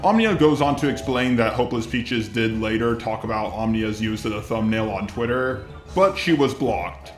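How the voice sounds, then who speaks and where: A man giving scripted online drama commentary in a measured, conciliatory way. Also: lowish voice, roomy sound, faint music behind. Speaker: YouTube commentator.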